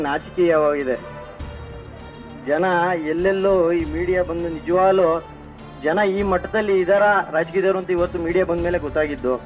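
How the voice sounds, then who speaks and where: A man from rural Karnataka with a local accent, making an earnest, repetitive impromptu public appeal. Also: high-pitched. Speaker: villager, member of the public.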